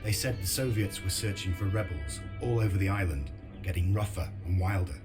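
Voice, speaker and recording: Guy playing a serious tired war vet in a game, low voice, sober delivery, music under it. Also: brisk, lightly acted. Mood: resolute. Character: grave, reluctant resistance veteran, weary and resolute narrator. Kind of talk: dramatic game narration and dialogue.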